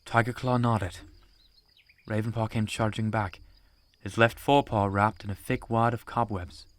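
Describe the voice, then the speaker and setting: Low-voiced man narrating. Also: steady tone, normal speed, no room sound. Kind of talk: scripted audiobook narration.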